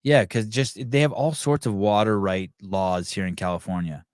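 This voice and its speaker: A lower-pitched man hosts a casual podcast chat. He talks in an animated, profane way and slips into voices when he tells a story. The sound is close and dry. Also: normal speed, clear, resonant tone, dry recording. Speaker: podcast host. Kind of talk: casual banter. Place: California home.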